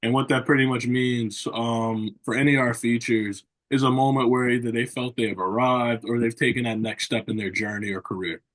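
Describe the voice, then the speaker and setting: A man's voice: medium pitch, fairly even intonation, a little fast, hardly any pauses. Rambling male interview host. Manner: rambling, repeats phrases, many "you know" and "um" fillers. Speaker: podcast host. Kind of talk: interview hosting.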